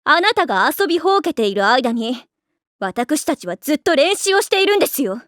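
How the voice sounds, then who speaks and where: A woman voice-acting a haughty, strict high school young lady in an anime, speaking in prim, pompous, overly polite phrases with a sharp tongue. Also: big pitch swings, brisk, no room sound, studio quality. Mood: stern, scornful. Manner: prim, pompous ojou-sama diction.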